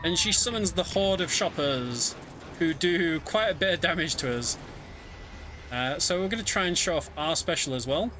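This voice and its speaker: A man's voice: fairly high pitch, expressive, moderate pace, background noise. A man giving casual live speedrun commentary in a chatty, hesitant way. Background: video game music.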